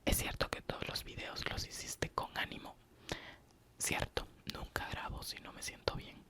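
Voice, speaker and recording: A man from Oaxaca with a Mexican accent, whispering an ASMR question-and-answer session with short true-or-false replies. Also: normal speed. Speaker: ASMR youtuber.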